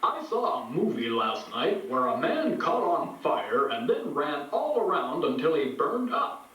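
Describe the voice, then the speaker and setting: A man's voice: fairly high pitch, sing-song, unhurried, fairly bright tone. A man voicing an earnest puppet who teaches children safety in an emphatic, scripted puppet show monologue. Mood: earnest teaching. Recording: echoey playback, far from mic, faint noise.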